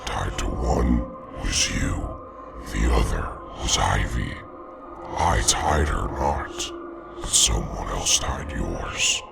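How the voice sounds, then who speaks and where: A man voicing a sinister, inhuman ferryman who speaks in slow, cryptic riddles. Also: extremely low pitch, lively intonation, creaky, exaggerated voice. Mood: menacing. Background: loud music.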